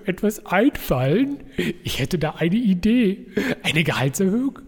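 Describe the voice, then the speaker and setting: Smug schemer in a reverberant hall. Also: female voice, on the low side, big pitch swings, a little slow, husky, exaggerated voice. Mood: smug, wheedling. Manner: smug, wheedling. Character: scheming, self-serving office employee angling for promotion. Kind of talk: German comedic radio play acting.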